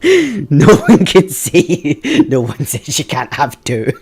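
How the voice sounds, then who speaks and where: A man's voice: on the high side, wildly swooping pitch, takes it slowly, a little mellow, husky. A young Scottish man streaming a puzzle game, chatting with viewers, thinking aloud and laughing often. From Scotland. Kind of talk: live game stream chat.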